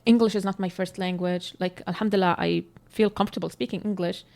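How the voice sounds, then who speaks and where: Palestinian woman, candid and unhurried. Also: on the low side, fairly even intonation, vocal fry, darkish tone. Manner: candid, searching for words, frequent fillers. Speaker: co-host. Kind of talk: casual podcast conversation.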